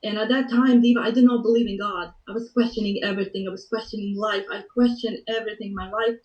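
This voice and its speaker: A woman from Bosnia tells her personal story as a guest, earnest and emotional and softly spoken. She sits some distance from the mic in a roomy space. The sound is dull and muffled. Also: mid-range voice, steady tone, quick pace. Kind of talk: personal story on a talk show.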